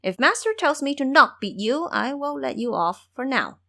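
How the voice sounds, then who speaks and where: A woman's voice: high voice, sing-song, moderate pace, bright, forceful tone, voice pushed up, heavily acted. A woman voicing a bossy, mocking male monkey disciple who scolds and threatens, in an audio story. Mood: scolding.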